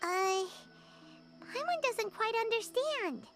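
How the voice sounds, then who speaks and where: A woman voicing a small childlike fairy companion in a video game, talkative and blunt, with a squeaky voice. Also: expressive, slow pace, heavily acted. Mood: puzzled. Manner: refers to self in third person, blunt, exclaiming. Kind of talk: animated video game voice acting. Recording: music behind the voice.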